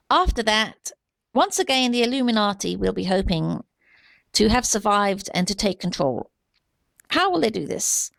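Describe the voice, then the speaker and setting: A woman giving an unscripted opinion monologue in a halting, deliberate, earnest way. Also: mid-range voice, vocal fry, no echo. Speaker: amateur video commentator.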